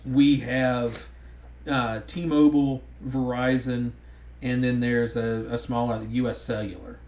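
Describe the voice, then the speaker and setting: A man's voice: medium pitch, little pitch movement, takes it slowly, darkish tone. A man with a thick Southern drawl, rambling in casual podcast chat with frequent restarts. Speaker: podcast co-host. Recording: roomy echo.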